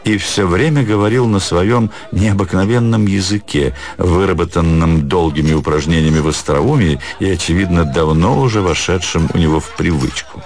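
A man narrating a classic literary story as an actor in a radio production. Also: low-pitched.

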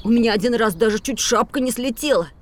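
A woman's voice: on the high side, fast talker, a little hiss or hum, natural voice. A woman playing a cheeky, talkative little boy in a scripted educational audio play. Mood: eager, boastful. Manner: eager, boastful.

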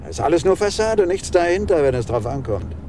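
A sleazy, boastful old screenwriter. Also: male voice, fairly high pitch, big pitch swings, fairly quick, a little mellow, a little hiss or hum. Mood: self-pitying. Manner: pompous, ingratiating. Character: boastful aging male screenwriter, sleazy and self-pitying. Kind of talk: radio drama acting.

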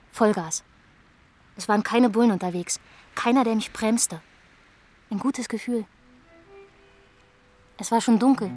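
A woman's voice: medium pitch, darkish tone, close to an everyday voice. An actress playing a troubled young woman in a radio drama, telling her story in short, fragmented, matter-of-fact sentences, like a confession. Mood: tense, subdued. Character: troubled young woman recounting her aimless, desperate night drive.

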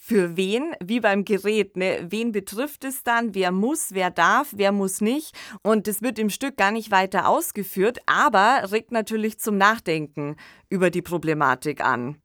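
A woman's voice: medium pitch, lively intonation, measured pace, fairly bright tone, dry recording, clean studio sound. A woman co-hosting a podcast, presenting and discussing in a reflective, informal, conversational way. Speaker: podcast co-host.

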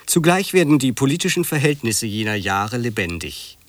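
A man giving a formal, scripted introduction to a radio drama in a measured, factual manner. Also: mid-range voice, big pitch swings, no echo.

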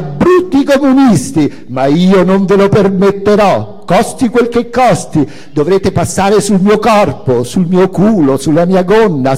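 A flamboyant self-styled countess acted out by a man, theatrical and full of mock grandeur, declaiming like a camp activist on stage. The voice leaps up high and swoops freely, husky at the edges, ringing through a long hall reverb. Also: a little mellow. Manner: declamatory, mock-indignant, feminizes every word. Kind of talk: theatrical dramatic reading. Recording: reverberant hall, music behind the voice.

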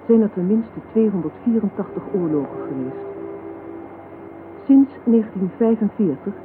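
An actress playing a grave, measured female narrator in a scripted radio satire about war. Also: lowish voice, close to an everyday voice. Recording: tinny old radio recording, faint hiss.

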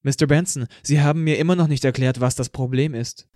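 A cheeky teenage boy acted by a man in an audio drama, recorded dry. He speaks at a middle pitch with mock politeness, playing innocent while being quietly insolent. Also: steady tone, brisk, no room sound, lightly acted. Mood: mock-polite. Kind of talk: fan audio drama acting.

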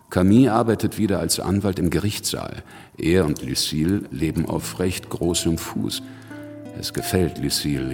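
A pragmatic, worldly politician of the revolution tells his tale in a German radio drama. The voice is very deep and creaky, dry and wry in manner. It rings with long reverb over music. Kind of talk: German radio drama, first-person narration and dialogue.

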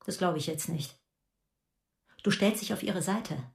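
A woman's voice: lowish voice, a little slow, creaky. An actress playing an angry, proud middle-aged mother who rants with sarcasm in a radio drama. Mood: bitter.

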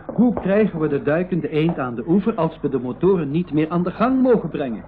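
A teasing young brother from a school radio play. He enunciates carefully in a very animated voice, with slight hiss on the recording. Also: on the high side, brisk. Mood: matter-of-fact. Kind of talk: 1980s Dutch-language school radio drama acting. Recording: dull, noisy old radio recording.

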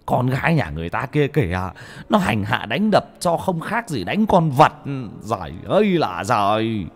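A man voicing a stern, hot-tempered old father who shouts and threatens. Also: mid-range voice, very animated, normal speed, pitched above the performer's own voice. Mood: furious.

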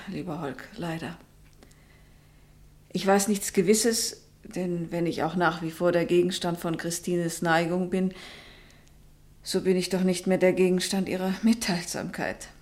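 Grave, low-pitched genteel lady acted by a woman. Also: natural voice. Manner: measured, careful, formal and literary speech. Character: genteel lady companion, grave, worried confidante. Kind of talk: German radio play acting.

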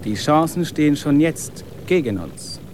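An actor playing a cautious, wry expedition companion in a radio drama, urging restraint. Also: male voice, a little high, very animated, a little slow, darkish tone, slight background noise. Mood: cautioning.

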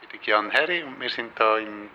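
A man's voice: lowish voice, a little fast, lo-fi sound. A man with a Swiss German accent, an undertaker explaining matter-of-factly and listing practical details in an interview. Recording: clear background noise.

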